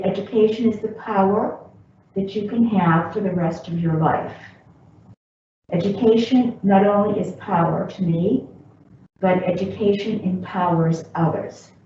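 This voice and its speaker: Female event host, formal and deliberate, slow delivery with a soft dark tone, heard across an echoey room. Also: medium pitch. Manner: deliberate, rhetorical repetition. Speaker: event host introducing a guest speaker. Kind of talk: formal spoken introduction. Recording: echoey room from far off, muffled, faint noise.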